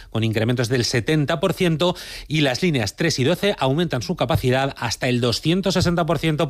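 A man from anchoring a radio news bulletin in a measured, formal read. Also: mid-range voice, no room sound, clean studio sound. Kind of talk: scripted news reading. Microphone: studio microphone.

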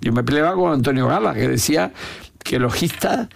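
An older man with an Andalusian accent, giving opinionated, anecdotal answers in an interview, often halting and repeating words. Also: a little high, expressive, gravelly. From Andalusia, Spain. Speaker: interview guest, veteran Andalusian politician. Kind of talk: unscripted reflective interview talk.

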